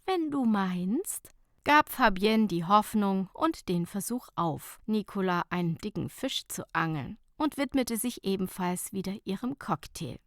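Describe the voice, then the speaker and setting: A woman narrating a light short story as an audiobook, in measured, clearly phrased storytelling. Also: medium pitch, lively intonation, dry recording, studio quality.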